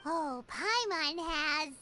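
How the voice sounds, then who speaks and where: A woman's voice: sits high, lively intonation, very slow, full character voice. A woman voicing a small, cheerful, childlike fairy companion in a video game, chirpy and eager. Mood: chirpy, excited. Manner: chirpy, childlike, refers to herself by name. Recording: loud music under the voice.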